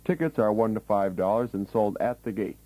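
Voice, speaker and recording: A man reads a scripted radio announcement. His voice is fairly low with a dark, relaxed tone. The sound is dry, with no room around it. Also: a little fast, no room sound.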